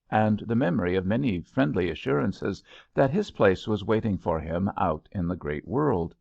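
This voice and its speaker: A man reading literary fiction aloud as an audiobook narrator, in an expressive, measured storytelling manner, on a slightly dull recording. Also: lowish voice, creaky, no room sound. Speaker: audiobook reader.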